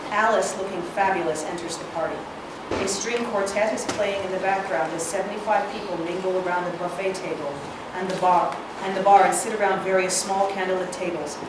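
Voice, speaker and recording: A woman's voice: mid-range voice, fairly even intonation, a little fast. A woman reading stage directions aloud as scripted narration at a screenplay reading. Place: indoor reading hall, recorded from far off. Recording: echoey room, distant mic, clear noise.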